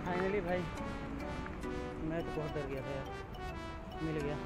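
A man's voice: on the high side, measured pace. A young man, chatting casually and informally to viewers as a travel vlogger. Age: 20s. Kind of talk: casual vlog chat to camera. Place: outdoors on busy streets and riverside. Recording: outdoor phone vlog with loud background music.

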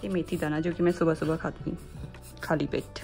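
A woman's voice: sits low, fairly even intonation, a little fast, gravelly, a little mellow. A young woman casually chatting to camera in a daily vlog, giggly as she shows off her lunch. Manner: chatty, giggly, talks to camera while showing food. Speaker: vlogger. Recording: music playing behind.

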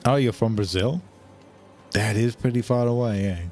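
A young Australian man swearing freely and reacting loudly as he chats while streaming a game. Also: mid-range voice, normal speed, soft background music. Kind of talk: casual live game commentary.

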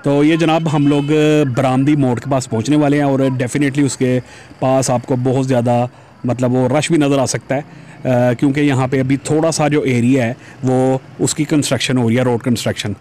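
A man's voice: mid-range voice, fairly even intonation, normal speed, darkish tone, a little hiss or hum. A man chatting to viewers as he rides a motorbike through busy city streets, recorded on a helmet-mounted camera. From Pakistan. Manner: chatty, addresses viewers as "janab". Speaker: motovlogger. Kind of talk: casual commentary while riding. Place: outdoors on a busy city road, riding a motorbike.

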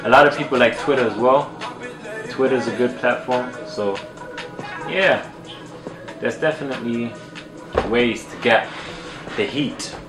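A man's voice: mid-range voice, measured pace, long pauses. Laid-back man in an echoey room. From Germany. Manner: laid-back, chatty, uses slang. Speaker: sneaker vlogger. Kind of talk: casual talk to camera. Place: plain indoor room at a desk. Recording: roomy echo with music behind.

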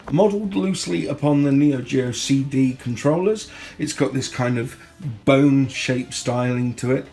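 A man from the UK with a sore throat, chatting casually through an unboxing at home, recorded on a handheld phone and clearing his throat now and then. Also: fairly high pitch, a little mellow. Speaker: product reviewer. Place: indoor home room. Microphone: phone held in hand.

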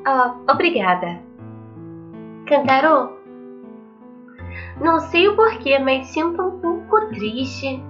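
A woman's voice: high-pitched, lively intonation, slow, long pauses, a bit bright, dull audio, soft background music, pitched above the performer's own voice, heavily acted. A woman voicing a sweet, cheerful, wistful schoolgirl character from an anime visual novel. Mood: wistful, tired.

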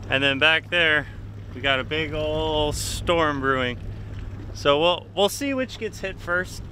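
Male fishing host, slightly high voice, jokey and upbeat, recorded on a boat with clear engine and water noise. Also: slow, pushed and bright. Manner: playful, jokey banter with the fish. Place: small boat offshore, outdoors. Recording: boat and wind noise outdoors.

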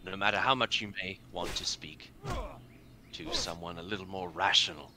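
A man playing his character in a tabletop game, speaking in a halting way full of fillers. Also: low-pitched, noisy background. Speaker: tabletop player. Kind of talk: in-character roleplay.

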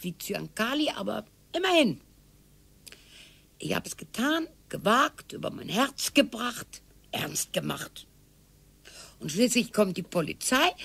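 An actress playing a sharp-tongued retired wife over sixty in a radio play, sarcastic and theatrical. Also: female voice, mid-range voice, gravelly, husky, full character voice. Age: older. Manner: sarcastic and theatrical, swings from loud to whispered.

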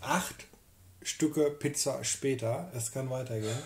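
A man's voice: mid-range voice, measured pace, vocal fry, a little hiss or hum. A man in his thirties co-hosting a casual whisky tasting at home, reading out label details in a chatty way. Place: home room with whisky shelves, seated in armchair.

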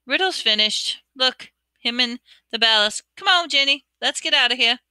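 A woman voicing a young schoolboy wizard who speaks with steady, reassuring urgency. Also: high voice, moderate pace, clear, resonant tone, no room sound, voice pushed up, full character voice. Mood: urgent, steady.

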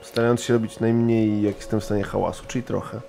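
A man's voice: lowish voice, level tone, fast, creaky, dark tone. A man playing a tabletop role-playing game, describing his character's actions in a hesitant, nervous way. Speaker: player.

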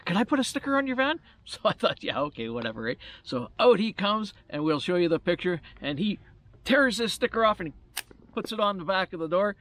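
A man in his sixties from Canada, co-hosting a travel vlog, joking and laughing, halting with many "uh"s, sitting outdoors by a camper van with the camera a few meters away. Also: high voice, big pitch swings, fairly bright tone. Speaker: vlog co-host. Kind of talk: casual chat.

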